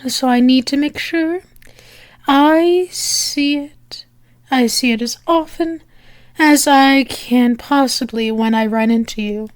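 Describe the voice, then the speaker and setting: A woman playing a flirtatious female demon in an ASMR roleplay, teasing and sultry, breathy and often slipping into a whisper, close to the microphone. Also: high-pitched, no room sound. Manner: sultry, teasing, drawn-out with lingering pauses.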